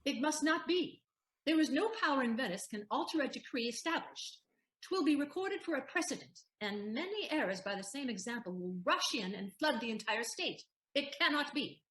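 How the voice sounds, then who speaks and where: Woman playing an expressive, eloquent lawyer in echoey room. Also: high-pitched, normal speed. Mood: firm. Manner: formal, eloquent, declamatory. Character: composed, eloquent young-middle-aged heroine posing as lawyer. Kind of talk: audio play Shakespeare acting. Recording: roomy, echoing recording.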